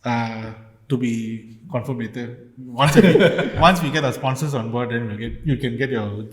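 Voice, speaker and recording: A male podcast host trades casual football banter. He is jokey and likes to tease. His mid-pitched voice rings in an echoey room. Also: normal speed. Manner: teasing, jokey.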